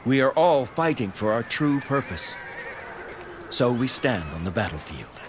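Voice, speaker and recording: A man voicing a loyal, earnest young general in a video game, steady and sincere. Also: mid-range voice, unhurried, background noise. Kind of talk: scripted video game cutscene acting.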